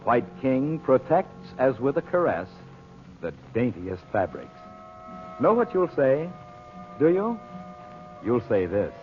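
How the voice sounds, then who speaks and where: Animated male vintage radio announcer, tinny sound. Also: medium pitch, slow, long pauses. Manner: polished, theatrical salesmanship. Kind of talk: 1940s-style radio announcing and sponsor ad. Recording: tinny, phone-like old radio recording. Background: music.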